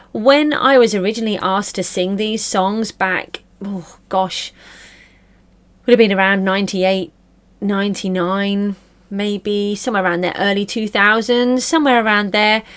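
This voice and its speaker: A middle-aged woman hosting her own channel, chatting casually to camera in a rambling, self-deprecating way. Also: medium pitch. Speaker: channel host.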